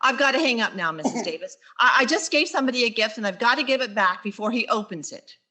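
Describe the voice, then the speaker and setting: A woman playing a wry schoolteacher with quick deadpan asides in a vintage radio sitcom style. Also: fairly high pitch. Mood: flustered, dry.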